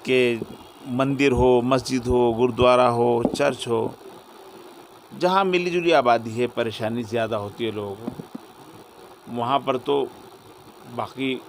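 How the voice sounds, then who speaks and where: A male cleric answering in an interview, speaking reflectively in short phrases with pauses. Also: mid-range voice, poor audio. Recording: faint vehicle and ambient noise.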